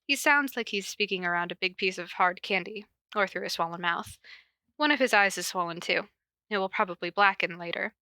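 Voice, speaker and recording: A woman's voice: mid-range voice, a little fast, sharp tone, no room sound. A woman podcast host cold-reading a story aloud, with casual, self-deprecating asides.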